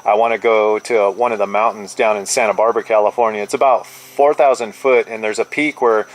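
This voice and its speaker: A man's voice: lowish voice, fairly even intonation, fairly quick, low-quality recording. A middle-aged man chatting casually as a radio operator, using laid-back radio lingo, heard over a two-way radio.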